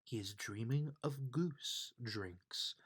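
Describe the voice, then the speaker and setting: A man reading a children's bedtime story aloud in an expressive, unhurried way. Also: medium pitch, breathy edge. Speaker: storybook reader.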